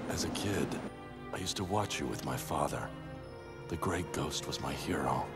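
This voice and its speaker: An actor voicing a brooding grown man who is a masked vigilante, speaking gravely and earnestly in a cartoon clip. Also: rumbling low, moderate pace. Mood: wistful. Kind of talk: animated series voice acting. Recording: clip with loud music under it.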